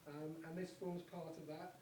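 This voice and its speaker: A man's voice: a little high, flat delivery, normal speed, warm tone. A man hosting an event, giving an informal, hesitant introduction, recorded from afar in an echoey, noisy room. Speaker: event host introducing a performance. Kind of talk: informal, unscripted welcome speech. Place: arts venue, recorded from afar. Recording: echoey, noisy, distant microphone.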